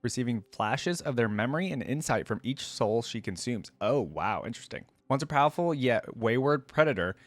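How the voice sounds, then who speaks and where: A man's voice: mid-range voice, lively intonation, moderate pace, hardly any pauses, no echo. A man hosting a reaction video in a casual, conversational way, thinking aloud and reading aloud.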